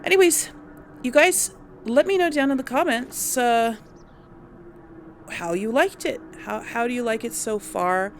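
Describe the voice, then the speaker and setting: A woman's voice: on the high side, measured pace, pauses often, a little hiss or hum. A woman hosting a gaming video with informal, chatty let's play commentary. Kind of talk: casual let's play commentary with role-played dialogue.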